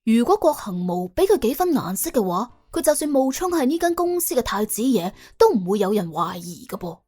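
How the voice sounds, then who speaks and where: Female audiobook narrator, Cantonese, higher register, animated dramatic delivery with a wry, chatty edge. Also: fairly quick, faint music behind. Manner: wry, colloquial, exclamatory. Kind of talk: dramatic scripted storytelling.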